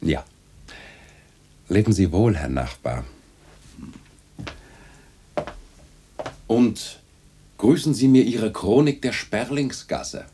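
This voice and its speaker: An actor playing a wry, restless male caricaturist in a radio drama, husky and theatrical, with formal old-fashioned address. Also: fairly low pitch, animated, slow pace, dark tone, a little hiss or hum. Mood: fond farewell. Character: wry, restless caricaturist bidding his neighbour farewell. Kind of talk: literary radio drama acting.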